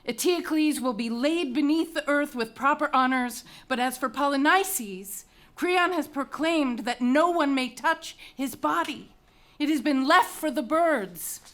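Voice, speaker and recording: High-voiced, defiant young princess. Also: female voice, unhurried, fairly bright tone. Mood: grave, urgent. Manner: intense, declamatory. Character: defiant young Greek princess, loyal, fierce, resolute. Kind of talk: dramatic reading of Greek tragedy.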